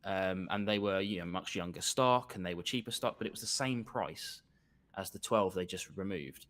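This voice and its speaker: A man's voice: sits low, normal speed, a bit bright. A man from England chatting as a guest over a video call, enthusiastic, with frequent restarts and fillers. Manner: enthusiastic, repeats words while restarting, many fillers. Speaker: guest reviewer. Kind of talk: casual conversation.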